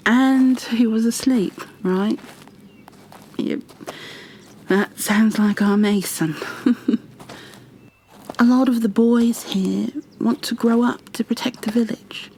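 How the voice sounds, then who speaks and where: A woman playing a kind, flustered young bookkeeper in a fantasy ASMR roleplay, speaking softly and breathily, often near a whisper, with gentle hesitations and apologies. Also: on the high side, fairly even intonation, takes it slowly, long pauses, a little hiss or hum, poor audio. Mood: gentle, wry. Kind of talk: ASMR roleplay, addressed to the listener.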